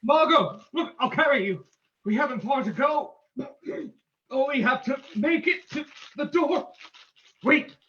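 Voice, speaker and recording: A man's voice: very high-pitched, expressive, measured pace, pushed and bright, exaggerated voice. A man playing a stern, commanding crime-fighting hero in a dramatic old-time radio drama reading. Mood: urgent. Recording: dull lo-fi sound.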